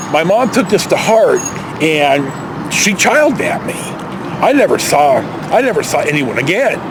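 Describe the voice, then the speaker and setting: A middle-aged American man telling a personal story casually, in a rambling, reflective, halting way. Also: mid-range voice, background noise. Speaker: storyteller vlogger.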